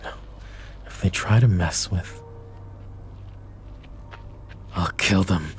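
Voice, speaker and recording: A man voicing a possessive, commanding mafia boss in a boyfriend ASMR roleplay, speaking softly and intimately to the listener. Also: low-pitched, unhurried, faint music behind. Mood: grim. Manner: soft, intimate, addresses listener directly.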